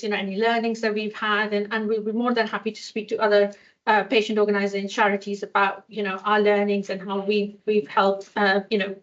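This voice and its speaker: A woman from a patient charity presents to a hospital audience. She stops and restarts often and fills her pauses with ums and you-knows. Her voice sits at a middle pitch, and the recording sounds muffled and dull. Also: fairly bright tone. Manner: hesitant, many fillers and restarts. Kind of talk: conference presentation. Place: hospital lecture hall.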